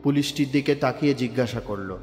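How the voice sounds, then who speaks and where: A man narrating a crime audio story in a measured, descriptive storytelling style. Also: mid-range voice. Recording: reverberant room with background music. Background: soft background music.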